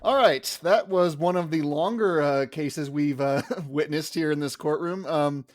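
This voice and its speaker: Higher-voiced man chatting casually, dry close sound. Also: measured pace, no room sound. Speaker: podcast panelist and mock juror. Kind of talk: casual joking chat.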